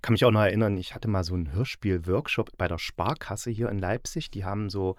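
A man's voice: lowish voice, brisk, no room sound, clean studio sound. A middle-aged man from East Germany hosting a casual podcast conversation, often circling back to clarify what he means. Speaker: podcast host.

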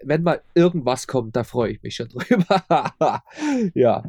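A man's voice: medium pitch, animated, unhurried, creaky, a little mellow, dry recording. A man in a casual interview as the guest, agreeable and informal, often repeating his words. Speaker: interview guest, audio drama maker.

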